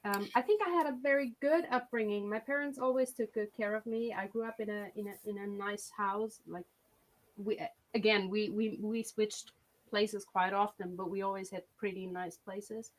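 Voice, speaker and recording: A woman's voice: medium pitch, fairly even intonation, moderate pace, low-quality recording. A young woman from Switzerland, a singer-songwriter chatting as a guest on a video-call interview, often restarting her sentences. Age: young adult. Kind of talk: casual interview chat.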